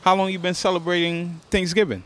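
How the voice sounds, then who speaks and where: A man's voice: high voice, flat delivery, brisk, a bit bright. A man interviewing people on the street, casually repeating "okay" and restarting his questions.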